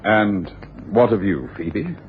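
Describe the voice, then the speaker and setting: Low-voiced, genial gentleman heir. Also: animated, takes it slowly. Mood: conciliatory, pleading. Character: genial, conciliatory gentleman heir, eager to make peace. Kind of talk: radio drama dialogue. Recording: dull, slightly noisy radio recording.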